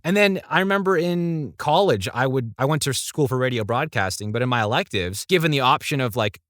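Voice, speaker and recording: Man, podcast guest, bright tone, dry recording, casual conversational storytelling. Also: medium pitch, animated, brisk, no room sound.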